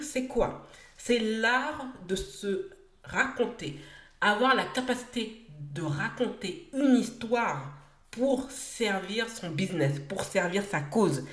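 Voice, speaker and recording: A woman's voice: medium pitch, animated, slow. Earnest woman hosting a podcast, echoey. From France. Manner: earnest, emphatic, builds points in short phrases with some hesitations. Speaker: podcast host. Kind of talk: solo podcast talk.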